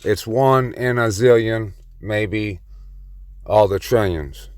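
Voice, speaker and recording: Male vlogger, low and unhurried, clear close dry voice, cocky rambling brag. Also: no echo. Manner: boastful and playfully cocky; slow, meandering delivery. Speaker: solo vlogger on the mic. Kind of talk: rambling self-recorded monologue.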